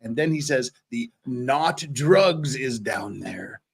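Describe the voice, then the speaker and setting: Man reading as an earnest, animated trial lawyer. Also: medium pitch, slow, creaky. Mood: quoting evidence. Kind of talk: dramatic reading of a court transcript.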